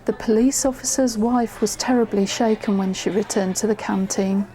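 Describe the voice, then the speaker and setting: An actress playing a woman who narrates events she witnessed, in measured, suspenseful audio drama style. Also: mid-range voice, fairly even intonation, dark tone, lightly acted. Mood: suspenseful narration. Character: female storyteller recalling a tense witnessed drama. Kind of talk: scripted first-person drama narration.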